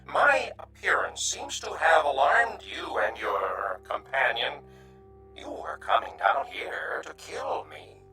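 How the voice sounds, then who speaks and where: A man's voice: a little high, sing-song, slow, bright, forceful tone, roomy sound, soft background music, full character voice. A man playing a timid, courteous alien refugee in a radio drama, speaking in stilted, formal, hesitant phrases. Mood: fearful, pleading.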